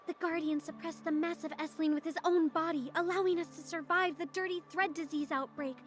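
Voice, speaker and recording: A woman voicing a serious, dutiful townswoman in a video game, explaining things gravely and in measured phrases. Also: very high-pitched, fairly even intonation. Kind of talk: video game dialogue acting.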